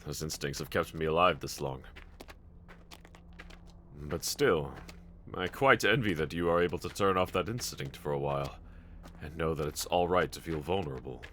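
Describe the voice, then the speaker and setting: A man playing a brave, tender young swordsman in an anime audio roleplay, speaking gently and earnestly to a companion in formal phrasing. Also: extremely low pitch, normal speed, pauses often, slight background noise. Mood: gentle, reflective.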